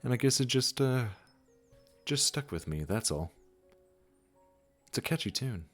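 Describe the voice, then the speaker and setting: A man playing a gentle, wise supernatural gardener in a comforting audio roleplay, speaking soothingly and reassuringly straight to the listener. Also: medium pitch, animated, soft background music. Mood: reflective, tender. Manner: soothing, unhurried, reassuring, with soft chuckles.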